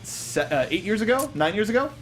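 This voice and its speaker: A man hosting a casual movie review chat, talking in a halting, wry way over music. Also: high-pitched, very animated. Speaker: panel show host.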